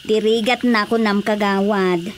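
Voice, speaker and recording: A woman's voice: mid-range voice, steady tone, measured pace, close to an everyday voice. A woman with an Ilocano accent playing an anxious, nagging woman in a radio drama. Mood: worried. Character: anxious, scolding woman fussing over an ailing drinker. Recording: faint background noise.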